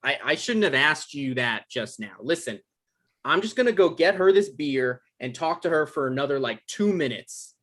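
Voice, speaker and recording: A man's voice: fairly high pitch, expressive, normal speed, a bit bright. A man playing an evasive, defensive guy in a table read, stammering and hedging in an awkward conversational way. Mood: flustered, apologetic. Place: online live stream table read.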